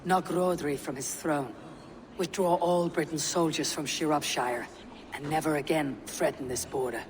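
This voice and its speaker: A woman playing a stern, steady Viking warrior in a video game, speaking in measured, formal, archaic phrases. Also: low-pitched, slight background noise. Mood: measured, persuasive. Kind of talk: video game cutscene acting. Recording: reverberant hall with music behind.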